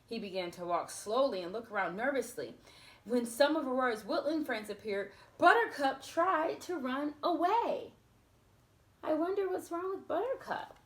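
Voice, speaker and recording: A woman reading a storybook aloud to children, expressive, with chatty asides spoken straight to her young listeners. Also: high-pitched, moderate pace, roomy sound.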